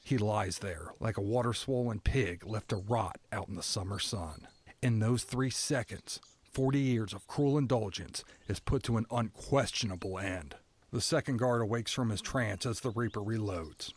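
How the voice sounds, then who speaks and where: A man playing a stoic, resigned prisoner who narrates an audio drama in a somber, deliberate, poetic storytelling manner. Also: on the low side, slightly rough. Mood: stoic, detached. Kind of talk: scripted first-person dramatic narration.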